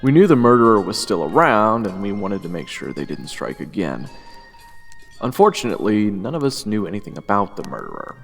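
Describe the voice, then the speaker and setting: A self-deprecating adventurer, acted by a man, tells a fantasy tale in a lowish, animated voice. He is wry and casual, with long reverb and faint music. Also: vocal fry, heavily acted. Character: self-deprecating young male adventurer, camp cook, narrating. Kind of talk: first-person story narration and drama acting.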